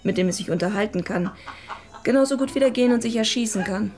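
An actress playing a shy, frank twenty-year-old would-be writer, naive and earnest, in a radio drama. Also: female voice, mid-range voice, quick pace, a little mellow, close to an everyday voice. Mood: forlorn, frank.

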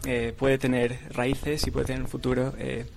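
A man whose first language is not Spanish speaks at a gala, thanking his hosts. His delivery is flat and level, broken by frequent hesitant fillers. A faint hiss of noise sits behind him. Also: medium pitch, moderate pace, vocal fry. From born abroad. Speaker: award presenter. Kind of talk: thank-you speech at a gala.

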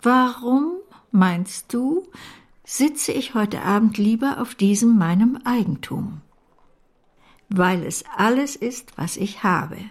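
An older actress in a German radio play, playing a brusque, eccentric but fond great-aunt with a blunt, imperious way of talking. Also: female voice, a little high, expressive, takes it slowly, heavily acted. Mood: grave, stoic.

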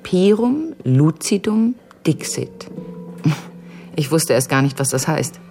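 An actress playing a patient's inquisitive great-niece in a radio drama, questioning in a thoughtful, tentative way. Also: female voice, low voice, big pitch swings, slow, warm tone, soft background music, heavily acted. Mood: puzzled, curious.

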